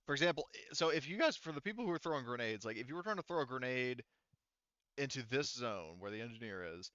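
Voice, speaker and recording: A man with a clear, forceful voice runs a tabletop game as game master. He talks casually and animatedly in mid pitch, with informal, profane turns of phrase. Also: lively intonation, fast, no echo, highs cut off.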